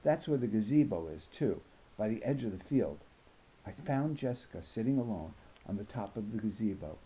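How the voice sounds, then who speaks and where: A man's voice: lowish voice, lively intonation, normal speed, dark tone, natural voice, rough recording. A man voicing a chatty, bossy preteen schoolgirl with slangy valley-girl phrasing for a storybook. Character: gossipy, bossy preteen schoolgirl who says "like" a lot.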